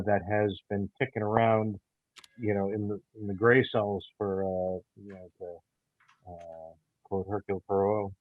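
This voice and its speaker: A man answering interview questions as a writer, explaining himself in a halting way with many pauses. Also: lowish voice, no echo, narrow, tinny audio. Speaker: audio theater writer and creator.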